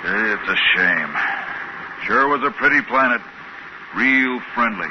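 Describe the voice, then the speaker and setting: A man's voice: lowish voice, takes it slowly. An actor playing an easygoing, matter-of-fact spaceship crewman in 1950s radio drama style, on a muffled, noisy old recording. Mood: regretful.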